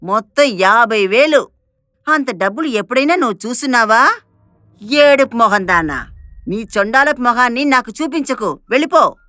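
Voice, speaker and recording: A woman voicing a harsh, contemptuous lady of the house in an animated moral story, bossy and berating. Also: a little high, normal speed, dry recording, clean studio sound. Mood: angry, scornful.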